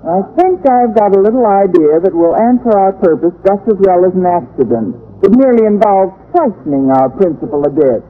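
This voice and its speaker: Actress as a wry schoolteacher on tinny old radio. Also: female voice, mid-range voice, expressive, a little fast, lightly acted. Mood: sly. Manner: dry, wry delivery. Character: wry, scheming female schoolteacher, quick-witted and composed. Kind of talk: 1940s-50s radio comedy acting. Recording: narrow-band old radio recording, faint noise.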